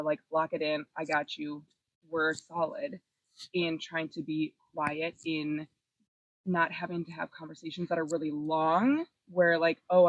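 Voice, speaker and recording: A young adult man with a loud voice, answering a jury's questions in a chatty, eager way as a game finalist. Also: on the high side, low-quality recording. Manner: chatty, eager, many likes.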